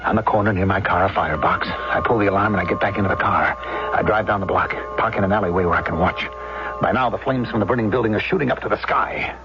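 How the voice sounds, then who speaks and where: An actor playing a deranged arsonist and killer, narrating in a 1970s radio drama with intense, deliberate phrasing. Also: male voice, noisy background, natural voice. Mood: rapturous. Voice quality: low-pitched. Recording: dull vintage radio recording. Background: loud dramatic music.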